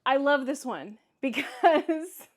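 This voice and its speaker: A woman vlogger with a distinctly high voice and a Kansas accent talks casually to her viewers. She is candid and conversational, leaning on words for emphasis as she gives her opinions. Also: animated, takes it slowly. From Kansas, United States. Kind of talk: casual solo vlog commentary, answering viewer comments.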